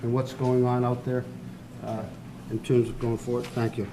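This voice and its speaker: Halting man chairing a board meeting. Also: medium pitch, completely flat delivery. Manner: halting, many uhs and restarts. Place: school board meeting room. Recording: noisy meeting-room recording.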